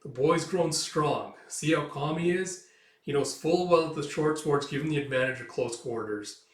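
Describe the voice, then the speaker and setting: A man voicing a shrewd, experienced Viking who gruffly explains a fight, in an animated saga. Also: fairly high pitch, moderate pace, close to an everyday voice. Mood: impressed. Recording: echoey room, distant from mic.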